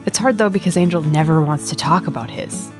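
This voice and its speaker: A woman's voice: low-pitched, fairly quick, music in the background. A woman co-hosting a comedy podcast, explaining and bantering in a wry, irreverent way. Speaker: podcast co-host.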